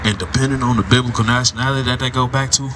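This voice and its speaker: A man's voice: medium pitch, fairly even intonation, very fast. A man preaching on the street to a passerby, lecturing and asking "right?" over and over. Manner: lecturing, asks "right?" again and again. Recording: street noise, mic at a distance.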